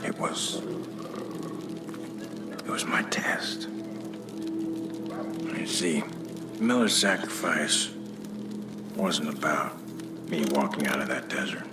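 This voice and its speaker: A man with an American accent playing a menacing older army veteran turned cult commander in a video game, telling stories deliberately and breathily. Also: very deep voice. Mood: grim, reflective. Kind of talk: video game villain monologue. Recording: loud music behind the voice. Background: loud music.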